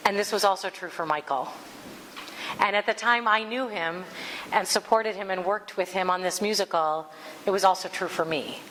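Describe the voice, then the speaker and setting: A woman's voice: medium pitch, a bit bright. Woman, minister, earnest spiritual talk, reads passages aloud, large hall with long reverb, faint room hum.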